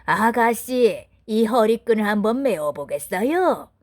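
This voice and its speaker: Woman playing a coaxing peddler woman, animated pitch. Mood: coaxing, sly. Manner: slow, drawn-out coaxing sales patter. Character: disguised wicked queen as coaxing peddler woman.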